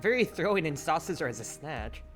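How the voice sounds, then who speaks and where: Game role: gentle tavern keeper voiced by a streamer, dry teasing, expressive pitch movement, light music behind. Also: moderate pace.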